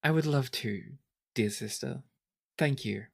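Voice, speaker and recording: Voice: medium pitch, measured pace, gravelly, slightly rough. A tabletop player voicing a reserved, hesitant young princess who speaks with slight formality. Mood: shy, polite. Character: reserved young princess, reluctant, formal, matter-of-fact about war.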